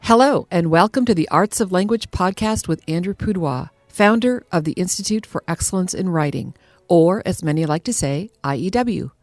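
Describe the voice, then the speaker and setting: A woman hosting a podcast, presenting in a polished, clearly phrased way. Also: fairly low pitch, expressive, moderate pace, a little mellow, dry recording. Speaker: podcast host. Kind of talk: hosting, presenting listener questions.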